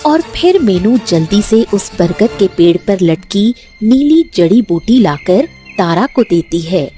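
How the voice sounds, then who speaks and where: A woman reads a scripted children's story as its narrator. Her voice is soft-edged and unhurried, with faint music underneath. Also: mid-range voice, very animated.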